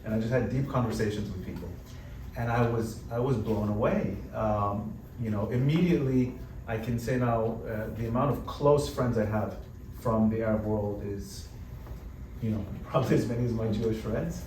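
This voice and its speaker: A man who grew up in the United States, speaking on a panel in a relaxed, conversational way with lots of "you know" fillers. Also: fairly low pitch, moderate pace. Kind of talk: panel discussion. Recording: roomy hall, distant mic, background noise.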